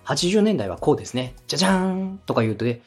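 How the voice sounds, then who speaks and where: A man hosting a podcast, politely and methodically giving encouraging production advice in a slightly husky voice. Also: on the high side, big pitch swings, fast, faint music behind. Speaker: podcast host and radio director giving feedback.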